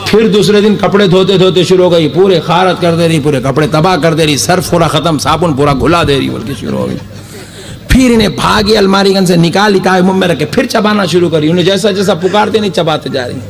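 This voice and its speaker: High-voiced young preacher telling stories. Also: male voice, brisk, a crowd in the background. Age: young adult. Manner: humorous, animated storytelling. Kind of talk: storytelling sermon. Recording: live gathering with audience noise. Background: audience reactions.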